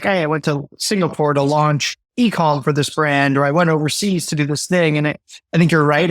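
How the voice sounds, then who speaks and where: Eager, fairly high-voiced male host. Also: no echo, studio quality. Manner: eager, conversational, some stumbles. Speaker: podcast host. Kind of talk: podcast interview.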